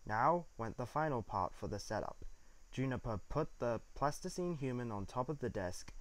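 Mid-pitched man narrating a story. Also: lively intonation, normal speed, dry recording.

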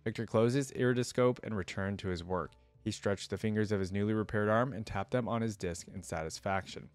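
A man's voice: lowish voice, brisk, smooth, no room sound. A man in his thirties doing casual, conversational reaction commentary and story reading, close on a desk microphone. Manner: conversational, checks in with "you know". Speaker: reaction video host. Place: small indoor streaming room.

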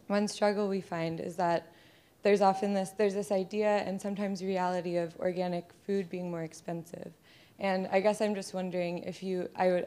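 A woman's voice: fairly low pitch, dark tone. A young American woman, a student in the audience, casually asking a question. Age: young adult.

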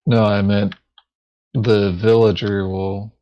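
Slow-talking, slightly low-voiced man. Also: flat delivery, muffled recording, crowd noise. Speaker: board game player in a recorded play session. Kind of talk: casual gameplay chat.

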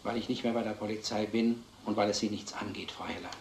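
An actor playing a stubborn, protective former police detective with a dry, measured, firm manner. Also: male voice, lowish voice, steady tone, gravelly. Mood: guarded. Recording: echoey film sound, faint background noise.

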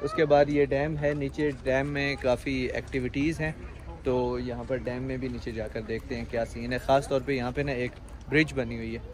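A man's voice: mid-range voice, little pitch movement, normal speed, a little mellow. A man in his thirties from Pakistan, chatting upbeat to a handheld camera as a travel vlogger. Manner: chatty, upbeat guide talking straight to camera. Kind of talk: casual travel vlog narration. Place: outdoors and in a car, on the move. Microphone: handheld camera at arm's length. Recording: noisy outdoor vlog sound.

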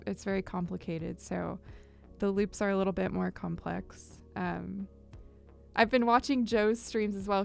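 A woman's voice: mid-range voice, gravelly. A young woman chatting casually to viewers on her live music stream, talking close to a studio microphone in a small home studio. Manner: chatty, upbeat, squeals greetings at viewers. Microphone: large studio mic on boom, headphones.

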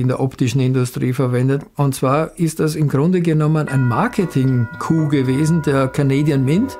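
A man's voice: medium pitch, mellow. A man in his sixties, a scientist, explaining technical matters in a filmed interview. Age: 60s. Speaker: scientist, head of a research institute. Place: book-lined room.

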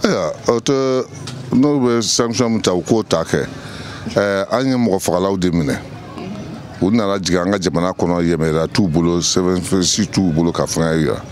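A man's voice: deep voice, normal speed, a little mellow, slight background noise. A middle-aged man with a Malian accent giving his opinion outdoors in a street interview, emphatic and full of hesitations, speaking into a handheld mic. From Mali. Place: outdoors in a courtyard. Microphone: handheld mic held by interviewer.

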